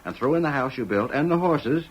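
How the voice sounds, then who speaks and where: A man's voice: medium pitch, animated, a little fast, breathy edge, rough recording. An actor playing a shrewd male Old West homesteader in rural American country speech, in 1940s radio style on an old recording. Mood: shrewd, bargaining.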